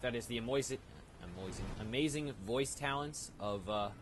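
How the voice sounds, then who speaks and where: A man's voice: mid-range voice, moderate pace. A man hosting a gaming video with casual, explanatory commentary and rambling asides. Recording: loud background noise.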